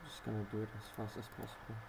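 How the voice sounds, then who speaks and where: A man's voice: on the low side, monotone, rapid-fire. A man muttering casual, unenthusiastic commentary to himself while he works as a solo game developer. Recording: clearly noisy recording.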